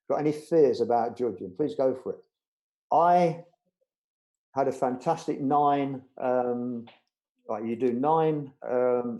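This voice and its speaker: Man, middle-aged, warm mellow voice, chatty storyteller, fillers and restarts. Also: mid-range voice, poor audio. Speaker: budgerigar show judge, interview guest. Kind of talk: casual storytelling interview.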